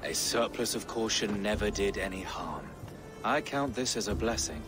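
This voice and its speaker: An actor playing a pious bishop who speaks in earnest, formal church phrasing. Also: male voice, on the low side, expressive, normal speed, music in the background, lightly acted. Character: pious middle-aged male English bishop. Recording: game audio with outdoor ambient noise.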